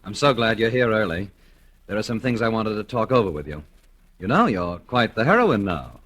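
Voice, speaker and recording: An actor playing a smug, patronizing, stuffy fiancé in a 1940s radio drama, pompous and over-polite. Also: male voice, fairly low pitch, big pitch swings, moderate pace, fairly bright tone. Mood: ingratiating.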